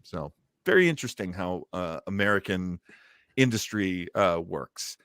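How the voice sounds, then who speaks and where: A man's voice: sits low, lively intonation, measured pace, a bit bright, no room sound, studio quality. A man hosting a show in casual, chatty commentary. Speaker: host of an old-time radio show podcast.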